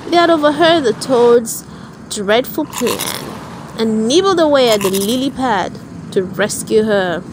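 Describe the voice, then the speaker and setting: Slow, high-pitched woman reading a storybook. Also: expressive, background noise. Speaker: storybook narrator. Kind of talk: read-aloud children's story narration.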